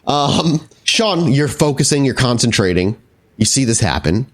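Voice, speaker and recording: A man's voice: medium pitch, sing-song, unhurried. A man narrating a tabletop horror game as game master, improvising wordy scene descriptions with casual asides.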